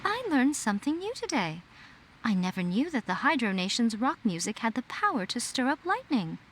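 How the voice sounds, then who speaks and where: A woman's voice: on the high side, big pitch swings, normal speed, poor audio. An actress playing a sly, teasing, elegant woman in a video game, coy and knowing. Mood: teasing, amused. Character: sly, teasing, elegant female shrine mistress.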